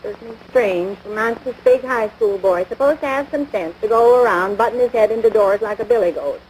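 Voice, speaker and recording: A woman's voice: fairly high pitch, brisk, a little mellow, close to an everyday voice. An actress playing a crabby small-town American housewife and mother in a domestic comedy, nagging in folksy, scornful talk. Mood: exasperated. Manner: folksy ungrammatical speech, scornful, exasperated. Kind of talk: domestic radio-style situation comedy. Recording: noisy vintage broadcast recording.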